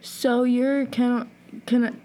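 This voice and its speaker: Mellow-voiced woman interviewer. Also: on the high side, level tone, unhurried, a little hiss or hum. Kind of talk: reading prepared interview questions.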